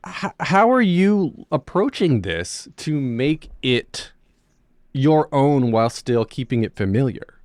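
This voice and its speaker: Male podcast host, animated pitch, rambling questions, dry close sound. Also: slow, dry recording. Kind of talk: interview questions.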